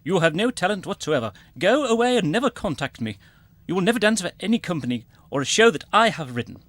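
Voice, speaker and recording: A man's voice: high voice, sing-song, fast talker, noisy background. A man playing a pompous, blunt theatre director in a radio comedy. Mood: scathing. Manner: pompous, emphatic.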